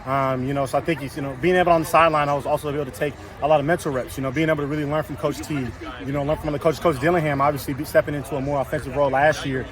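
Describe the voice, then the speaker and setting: A young college lineman rattles off his answers at a very fast clip, his slightly high voice loud and carrying. He repeats words and leans on fillers as he talks. Crowd chatter fills the open-air scrum around him. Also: steady tone. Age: young adult. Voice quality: loud voice that carries. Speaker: college football offensive lineman. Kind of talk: casual post-practice interview answers.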